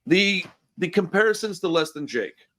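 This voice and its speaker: A man's voice: high voice, expressive, normal speed, pushed and bright. Laid-back man hosting a chat. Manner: laid-back, says "man" and "dude" a lot. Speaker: podcast host. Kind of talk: casual interview chat.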